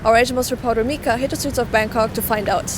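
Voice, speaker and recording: A woman's voice: a little high, fairly even intonation, quick pace. A woman hosting, delivering a scripted introduction. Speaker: host. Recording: clear traffic noise behind.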